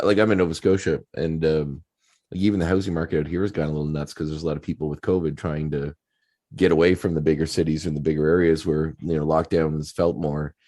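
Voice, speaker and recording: Podcast host guy with a super deep voice, rambles on casual, lots of you knows. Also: mellow. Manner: conversational, rambling with many fillers. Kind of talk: casual interview chat.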